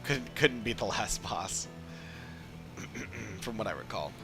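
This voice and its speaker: A man chatting casually as a game streamer, rambling and halting as he talks. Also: lowish voice, animated, gravelly. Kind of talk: casual livestream chat. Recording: game music behind the voice. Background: video game music.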